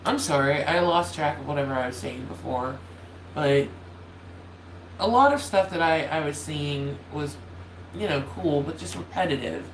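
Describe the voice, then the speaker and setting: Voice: mid-range voice, normal speed. A YouTuber chatting casually on a holiday livestream, with a nasal, slightly cracking voice, often apologizing and losing the thread. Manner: apologetic, loses track and restarts often. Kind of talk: casual rambling chat to viewers. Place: room at home with a loud space heater. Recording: roomy echo, faint heater hum.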